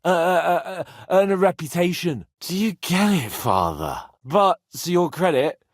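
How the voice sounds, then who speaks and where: An actor voicing a brash, teasing young medieval nobleman in a video game, proud, hot-headed and sardonic, with an expressive delivery. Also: high voice, a little slow, no room sound, studio quality, full character voice. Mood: drunken self-pity. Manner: cocky, sardonic, swearing, expressive. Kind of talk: video game voice acting, dramatic dialogue.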